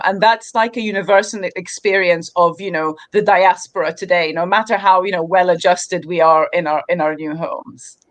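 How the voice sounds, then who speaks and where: A woman from Egypt talks thoughtfully about her writing in a livestream chat. Her voice sits at an ordinary middle pitch, and she keeps slipping in "you know" as she thinks aloud. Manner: reflective, many fillers like "you know". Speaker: author guest. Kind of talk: livestream conversation.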